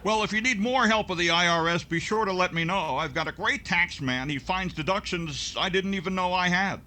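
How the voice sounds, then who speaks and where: A man acting a hearty, jovial announcer sidekick in a 1940s radio comedy. His voice is high, bright and forceful, with emphatic delivery and faint noise behind it. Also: fairly quick. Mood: cheerful.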